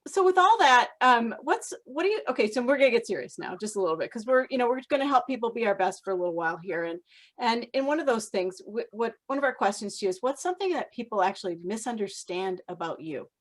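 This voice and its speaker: A woman's voice: on the high side, animated, fast. A woman hosting a casual conversation in a chatty, informal way. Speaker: podcast host. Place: online video call.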